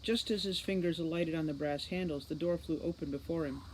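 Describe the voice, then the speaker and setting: A woman reading an audiobook novel aloud in an expressive storytelling style. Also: sits low, fairly quick, low-quality recording. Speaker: audiobook reader. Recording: faint background noise.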